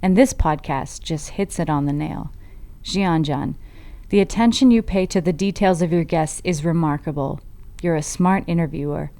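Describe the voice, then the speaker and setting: A woman's voice: sits low, moderate pace, dark tone. A woman co-hosting a podcast, reading listener comments aloud and bantering with her co-host.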